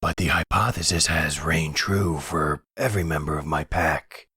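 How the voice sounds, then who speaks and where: A man playing a very deep, husky werewolf elder. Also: pushed and bright, no echo, studio quality, heavily acted. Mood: measured, explanatory. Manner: measured, formal, soothing guide. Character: calm, fatherly werewolf pack leader and researcher. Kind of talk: roleplay audio drama.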